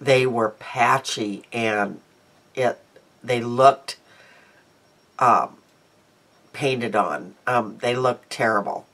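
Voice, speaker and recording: Slow-talking chatty congested woman. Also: rumbling low, fairly bright tone. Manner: chatty, rambling, frequent ums. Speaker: beauty vlogger. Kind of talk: casual daily vlog chat. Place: small home room, indoors.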